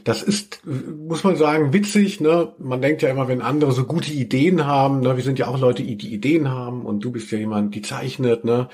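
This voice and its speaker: A man with an average-pitched voice talks casually as a podcast co-host. He speaks as if to a friend, rambling a little and working out his thoughts as he goes. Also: moderate pace, hardly any pauses, darkish tone. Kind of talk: casual chat between friends.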